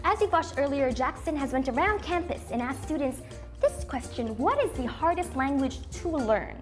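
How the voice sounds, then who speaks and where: A woman whose first language is Arabic, hosting a talk show with enthusiastic, animated energy. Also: high voice, moderate pace, faint music behind. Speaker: host.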